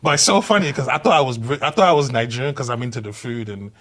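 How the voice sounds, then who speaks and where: A man's voice: mid-range voice, fast. London comedian guy, young, chatty storyteller, laughs at his own punchlines, normal mid voice. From Hackney, East London. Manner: animated storyteller who laughs through punchlines and acts out quoted voices. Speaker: guest comedian. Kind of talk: casual chat, anecdotal storytelling.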